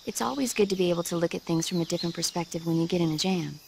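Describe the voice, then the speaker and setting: An actress playing a friendly, earnest radio support operator who chats enthusiastically in a video game. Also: female voice, fairly low pitch, very fast, vocal fry, darkish tone, a little hiss or hum. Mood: friendly, enthusiastic. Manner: chatty, earnest.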